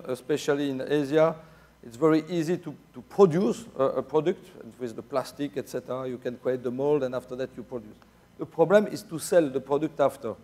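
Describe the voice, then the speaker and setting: A man in his forties with a Belgian French accent, giving a conference talk on a dark stage with many fillers and restarts. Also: fairly high pitch. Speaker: keynote speaker. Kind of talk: conference presentation.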